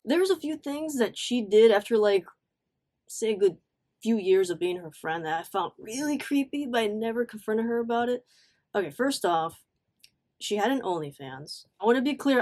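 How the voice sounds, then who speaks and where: Casual, blunt woman telling a story. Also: medium pitch. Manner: animated, blunt, swearing, acts out quotes; slangy, conversational asides. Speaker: online storyteller. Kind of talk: casual story time vlog.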